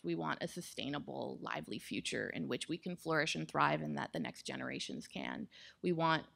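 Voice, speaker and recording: A woman guest expert answers questions in an onstage interview. Her voice sits low and is very gravelly with fry. She speaks in measured, well-formed sentences. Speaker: guest expert on climate psychology. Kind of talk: thoughtful interview answers on stage. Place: indoor stage with wood-slat backdrop. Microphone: microphone on boom stand.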